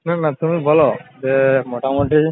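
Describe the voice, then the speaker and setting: A young man talks quickly in a fairly high voice. His manner is casual and teasing, needling with persistent questions. It sounds like a tinny phone call. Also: little pitch movement, slight background noise. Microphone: telephone.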